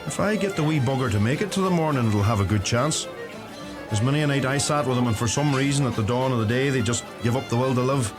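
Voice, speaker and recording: An actor playing a gentle, thoughtful young farmer with a Donegal Irish accent in a radio play. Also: male voice, mid-range voice, fast talker, natural voice. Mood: tender. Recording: music under the voice.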